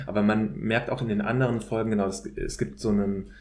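A man's voice: lowish voice, little pitch movement, fast talker, darkish tone. A man speaking as an expert guest in an analytical discussion, hesitantly searching for his words. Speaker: guest, political scientist.

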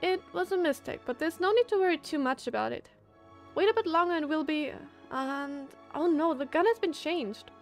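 A fiery young woman scientist from a visual novel, acted out by a female streamer. Her voice is high, emphatic and proud, with a bossy, indignant edge. Faint music sits behind. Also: normal speed. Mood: reassuring.

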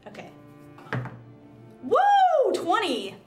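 A woman's voice: extremely high pitch, huge pitch swings, drawn-out words, darkish tone, faint music behind. A young woman chatting casually and playfully as a player in a tabletop roleplaying game. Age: young adult. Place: indoor studio set, seated at a table.

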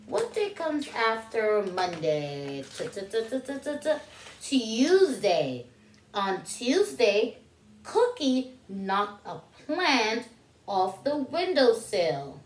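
A woman in her thirties leading children's story time, reading aloud in an animated, questioning way and stretching out key words for young listeners. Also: fairly high pitch, slow, slight background noise. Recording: roomy indoor echo.

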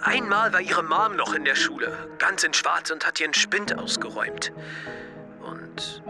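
A man's voice: fairly high pitch, a little slow, music in the background. An actor playing a blunt, defensive teenage skater boy in an audio drama, speaking matter-of-factly. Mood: subdued.

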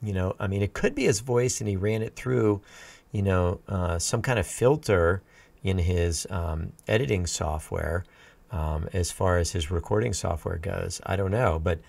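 A man's voice: a little slow, gravelly, darkish tone, no echo. A middle-aged man, a band teacher, talking casually and admiringly about a song in a small home studio, rambling with fillers into a close studio mic. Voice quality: low voice. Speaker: band teacher reacting to music. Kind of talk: casual reaction commentary. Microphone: large studio mic on a stand, close.

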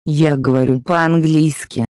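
Mellow voice repeating phrases slow and careful, dry booth sound. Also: medium pitch, fairly even intonation, no room sound. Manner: slow, deliberate enunciation. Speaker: Russian phrase reader. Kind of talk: slow scripted phrase reading.

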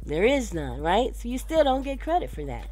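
A woman giving an informal, instructive software tutorial as a radio DJ, talking directly to her listeners. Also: fairly high pitch, big pitch swings, moderate pace, faint music behind. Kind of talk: casual screen-recorded tutorial. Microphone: voice-over for a screen recording.

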